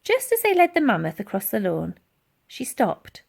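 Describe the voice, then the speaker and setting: A woman reading a children's storybook aloud as scripted, expressive narration. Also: mid-range voice, moderate pace, creaky. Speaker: storybook reader.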